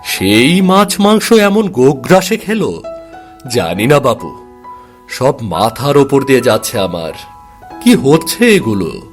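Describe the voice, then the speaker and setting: Man acting a slow, animated, puzzled husband. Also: medium pitch, faint music behind. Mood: bewildered. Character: puzzled, mild-mannered young Bengali husband and dutiful son. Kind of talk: Bengali cartoon voice acting.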